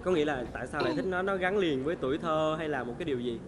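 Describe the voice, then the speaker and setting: A man's voice: fairly high pitch, rapid-fire, a loud crowd. A man in his twenties with a southern Vietnamese accent, hosting a casual chat with playful, teasing banter. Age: 20s. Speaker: talk show host. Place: indoor talk show set styled as an old shop.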